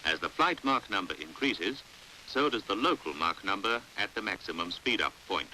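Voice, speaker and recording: A British man narrating a 1950s educational film in a measured, precise, didactic way, on an old hissy soundtrack. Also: lowish voice, animated, bright, forceful tone, poor audio. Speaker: documentary narrator. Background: faint hiss.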